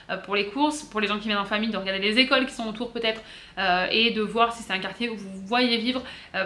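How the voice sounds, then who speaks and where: A woman from south-east France with a French accent, giving casual vlog advice in a chatty, halting way with frequent self-corrections. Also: mid-range voice, sharp tone. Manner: chatty, hesitant, self-correcting with many pauses. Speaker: vlogger. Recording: roomy echo.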